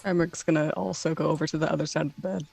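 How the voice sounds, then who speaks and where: A woman's voice: deep voice, fairly even intonation, racing, creaky, darkish tone, breathy edge. A woman playing a tabletop role-playing game, casually announcing her character's moves and chatting.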